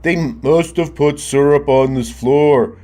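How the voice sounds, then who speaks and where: A man voicing Marcel, a young boy in a children's storybook, speaking slowly and in a questioning, drawn-out way. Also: fairly high pitch, mellow, pitched above the performer's own voice. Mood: puzzled. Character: young boy Marcel, curious then reluctant.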